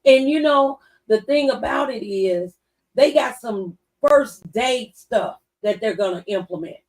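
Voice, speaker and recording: A woman's voice: a little high, expressive, a little slow, darkish tone, rough recording. A woman in a casual political discussion on a talk show, speaking conversationally.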